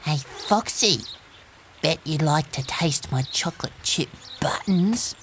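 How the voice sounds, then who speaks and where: A woman's voice: low voice, animated, takes it slowly, slight background noise. A woman voicing a cheeky, boastful little gingerbread boy in a children's audio story, with a sing-song, taunting delivery.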